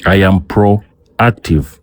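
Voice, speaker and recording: A man's voice: sits low, extremely slow, slight background noise. A man from Uganda arguing in a heated online debate, insistent and repeating himself as he talks over the other speaker. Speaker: debate guest.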